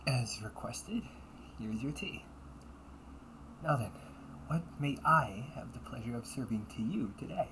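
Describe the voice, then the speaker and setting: Performer acting a delinquent older brother as cafe butler, medium pitch, formal politeness, grudging edge, amateur audio roleplay, slight background noise. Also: expressive, a little slow, pauses often. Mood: formally polite. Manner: stiffly formal service politeness, slipping into muttered threats.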